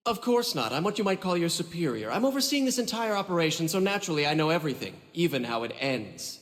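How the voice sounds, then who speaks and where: High-pitched, swooping, smug male schemer. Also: very animated, fast talker, a bit bright. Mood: smug, playful. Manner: theatrical, mocking, drawling. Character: smug, flippant male schemer, theatrical and condescending. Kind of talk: English anime game dub acting. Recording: long hall reverb, music underneath.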